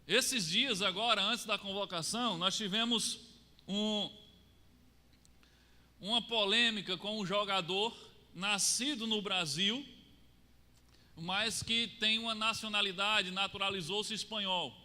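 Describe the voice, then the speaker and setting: A Brazilian man preaching a sermon, swinging between raised lines and low, quiet drops for emphasis. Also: a little slow, long pauses. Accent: Brazilian Portuguese. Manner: rises and falls, drops low to stress points. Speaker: pastor. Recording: long reverb of a church hall.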